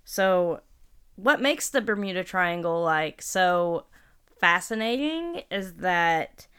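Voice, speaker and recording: A woman's voice: on the low side, expressive, slow pace, a bit bright, smooth. A woman with a Southern US accent, co-hosting a casual podcast and reading from her notes with fillers and restarts. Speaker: podcast co-host. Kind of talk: casual chat.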